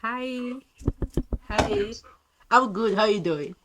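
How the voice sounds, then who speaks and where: A young Nigerian woman with a Nigerian accent, chatting with strangers on a video app and reacting on her phone, loud and laughing. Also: a little high, sing-song, slow pace, background noise. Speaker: vlogger. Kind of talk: casual video chat commentary.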